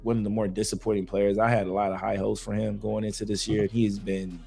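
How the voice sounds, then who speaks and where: A man co-hosting a podcast, talking sports in a loose, slangy way with a voice that is going hoarse. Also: lowish voice, fast, faint music behind. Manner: loose, slangy, animated banter with fillers. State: losing his voice. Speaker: podcast co-host. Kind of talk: casual sports talk.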